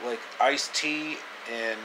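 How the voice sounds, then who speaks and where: A man in his thirties telling an improvised story casually to camera, halting and repeating phrases, in a noisy small room. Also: medium pitch. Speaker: amateur storyteller. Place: small indoor room, reclining. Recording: clear air conditioner noise.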